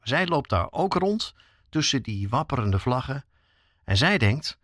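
A man's voice: mid-range voice, big pitch swings, unhurried, a bit bright, no echo, clean studio sound. A man with a Netherlands Dutch accent hosts a podcast, reading scripted narration as a reporter.

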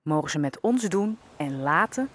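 A woman playing a quietly resigned young enslaved servant in a historical audio drama, telling her story in a measured, reflective way. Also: low-pitched, lively intonation, a little hiss or hum. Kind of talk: audio drama first-person narration.

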